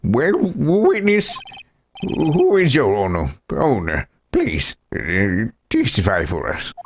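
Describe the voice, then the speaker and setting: A man's voice: mid-range voice, huge pitch swings, slow pace, a little hiss or hum, exaggerated voice. A man voicing a flustered, bumbling old male judge in a courtroom video game, exclaiming and dithering. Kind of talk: voiced playthrough of a courtroom game. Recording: game music behind.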